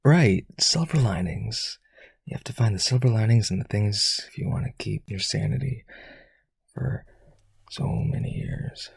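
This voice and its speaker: Man playing a husky, lonely vampire aristocrat. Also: fairly low pitch, unhurried, no echo, heavily acted. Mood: lonely, earnest. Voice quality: husky, creaky. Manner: hesitant, pleading, eager to please. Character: lonely rich male aristocrat vampire, eager, clingy yandere suitor. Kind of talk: roleplay audio drama.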